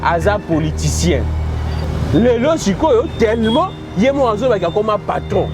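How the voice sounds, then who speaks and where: A man's voice: high-pitched, sing-song, moderate pace, over music. A man with a Congolese French accent giving forthright, impassioned political commentary as a guest, switching between two languages.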